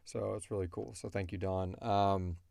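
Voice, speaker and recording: A man's voice: sits low, fairly even intonation, normal speed, gravelly, a little mellow, no room sound, clean studio sound. A man hosting a podcast in casual conversation, explaining things in a rambling way as he answers listener questions.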